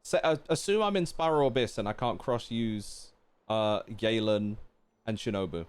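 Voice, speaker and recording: A man's voice: lowish voice, normal speed, smooth. A man chatting casually as he streams a game, thinking aloud and putting questions to his viewers. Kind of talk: casual live-stream chat while playing a game. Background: game music.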